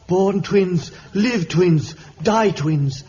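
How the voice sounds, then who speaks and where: An actor playing a frightened African villager in a vintage radio adventure serial, speaking halting pidgin English with dropped words. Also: male voice, high-pitched, warm tone, slightly rough, full character voice. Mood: grave explaining. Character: frightened African villager, a new father resigned to a curse. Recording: dull, lightly noisy old radio recording.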